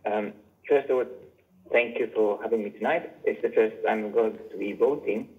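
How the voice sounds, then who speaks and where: A man's voice: lowish voice, normal speed. Man from Chile on a phone-like line. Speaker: audience member asking a question. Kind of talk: halting spoken question at a televised debate.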